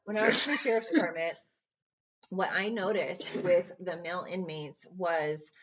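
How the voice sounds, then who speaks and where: A woman guest in a casual chat who says she has a small voice and is not a yeller. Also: fairly low pitch, moderate pace, lo-fi sound, a little hiss or hum. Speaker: guest, former sheriff's deputy.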